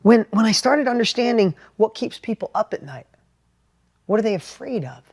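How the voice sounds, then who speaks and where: A middle-aged man with a New York accent and a high-pitched voice, giving an animated, plainspoken motivational talk about sales. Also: normal speed. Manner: animated, plainspoken, repeats and restarts words. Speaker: entrepreneur and sales educator. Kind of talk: motivational talk with personal story.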